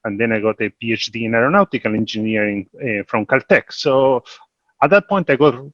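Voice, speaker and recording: A man in his fifties from Argentina with an Argentine Spanish accent, an investment firm executive introducing himself in a relaxed, self-deprecating way on a video call. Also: medium pitch, brisk, dry recording, lo-fi sound. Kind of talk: casual panel introduction. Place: home office in Los Angeles, video call.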